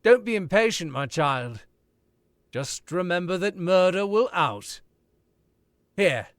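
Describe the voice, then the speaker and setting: A man's voice: high voice, animated, slow pace, dry recording, studio quality. A man playing a suave, sardonic older author in an old-time radio drama recreation, drawling and wry. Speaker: radio play actor.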